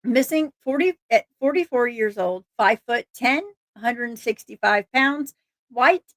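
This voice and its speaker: A somewhat high-pitched woman hosts a casual livestream, reading flyers and chatting with viewers. Her voice is a little hoarse and she laughs easily, stumbling and restarting words. The sound is dry and close, with no room echo. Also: animated, a little slow. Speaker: livestream host reading missing persons flyers.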